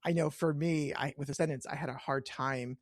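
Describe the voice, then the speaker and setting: A man's voice: fairly high pitch, fairly even intonation, a little fast, no echo, clean studio sound. A man hosting a podcast, chatting casually and informally and often restarting his thoughts mid-sentence. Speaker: podcast host, interviewer. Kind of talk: casual chat.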